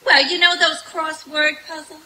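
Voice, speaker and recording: A woman's voice: high-pitched, level tone, moderate pace, sharp tone, slight background noise. A woman playing a ditzy, cheerful 1940s radio comedienne with a bubbly, naive delivery. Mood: ditzy, eager. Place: outdoor night stage in woods.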